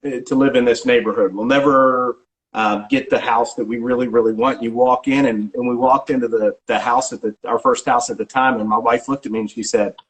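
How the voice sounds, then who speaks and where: A middle-aged man, a real estate agent, answering questions in an ordinary mid-range voice. He talks haltingly, often restarting a phrase and filling the gaps with ums. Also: mid-range voice, fairly even intonation, low-quality recording. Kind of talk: casual interview answers.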